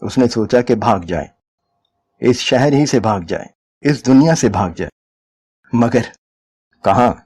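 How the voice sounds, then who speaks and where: A man's voice: mid-range voice, lively intonation, takes it slowly, pauses often, a little mellow, breathy edge. A man reading a short story aloud as a dramatic, scripted narration.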